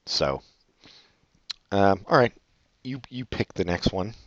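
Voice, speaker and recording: A man's voice: on the low side, expressive, takes it slowly, a bit bright, no echo, dull audio. A man co-hosting a casual chat, reading advice letters and making sarcastic, profane jokes. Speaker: podcast co-host.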